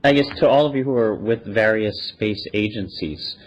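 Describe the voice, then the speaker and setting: A man's voice: on the low side, normal speed. A man hosting a conversational interview, asking curious questions as he goes. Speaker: podcast host. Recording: dull lo-fi podcast audio.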